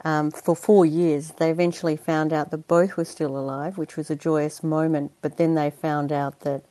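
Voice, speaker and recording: Low, mellow-voiced woman. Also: little pitch movement, normal speed, dry recording. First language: Dutch. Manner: chatty and earnest, laughs easily, many fillers. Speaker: interview guest, performer. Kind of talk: conversational interview.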